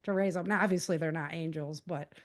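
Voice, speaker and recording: A woman's voice: low-pitched, fairly even intonation, brisk. American woman, earnest and informal. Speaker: podcast guest, author and self-defense teacher. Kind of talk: conversational interview answers.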